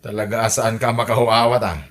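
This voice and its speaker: A man's voice: lowish voice, little pitch movement, fairly quick, fairly bright tone, lightly acted. A man with an Ilocano accent playing a lazy, mocking young village bully in a comedy audio drama, with a scornful, taunting delivery. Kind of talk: amateur Ilocano comedy radio drama acting.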